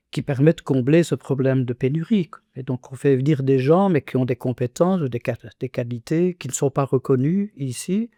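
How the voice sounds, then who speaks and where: A man's voice: medium pitch, mellow, dry recording, clean studio sound. A man in his sixties, born in Congo, giving reflective conversational testimony in a podcast interview, speaking earnestly with many restarts and fillers. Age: 60s.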